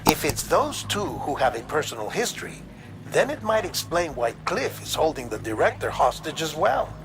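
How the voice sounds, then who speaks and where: A man playing an earnest, analytical investigator in a video game, giving a serious, measured report to a colleague. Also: fairly high pitch, sing-song, over music, low-quality recording. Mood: urgent, puzzled. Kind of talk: scripted dramatic game dialogue.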